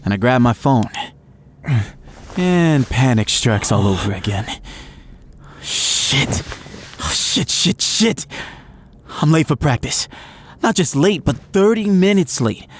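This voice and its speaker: A young college quarterback telling his own story in an audio drama. He is earnest and frazzled, confiding in an intense, emotive way at a medium pitch. Faint ambience sits behind the voice. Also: lively intonation, slow pace, long pauses, a little hiss or hum. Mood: frazzled, rueful. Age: young adult. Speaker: narrator and lead character. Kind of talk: dramatic first-person audio drama narration.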